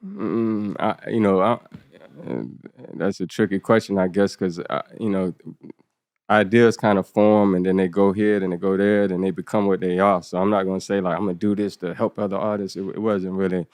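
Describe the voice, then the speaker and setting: Deep southern american guy in his 30s, low flat voice, easygoing podcast guest talk, dry sound. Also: moderate pace, no room sound. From United States. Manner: laid-back, leans on "you know what I'm saying". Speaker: podcast guest, event organizer. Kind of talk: casual interview talk.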